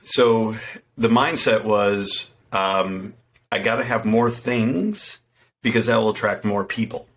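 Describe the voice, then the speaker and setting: A man's voice: fairly low pitch, takes it slowly, a bit bright. A middle-aged man, a podcast guest, telling his business story in a casual, earnest and self-deprecating way with frequent restarts. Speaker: podcast guest, fitness entrepreneur. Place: home room with window, indoors. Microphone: studio microphone in front.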